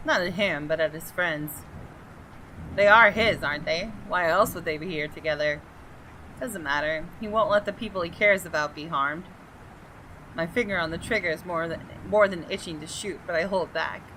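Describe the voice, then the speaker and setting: A woman's voice: fairly low pitch, moderate pace, pushed and bright, a little hiss or hum, low-quality recording. A woman reading visual novel narration dramatically, voicing the thoughts of an arrogant, menacing gun owner. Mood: cold, menacing. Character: haughty, menacing gunman homeowner narrating his thoughts. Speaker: gaming video host. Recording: clear music behind the voice.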